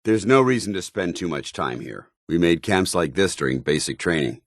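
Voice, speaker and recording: An actor playing a stern, zealous soldier companion in a video game, with an American accent and a formal, earnest military delivery. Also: male voice, low voice, expressive, moderate pace, husky, dry recording, clean studio sound, heavily acted. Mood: matter-of-fact, wary.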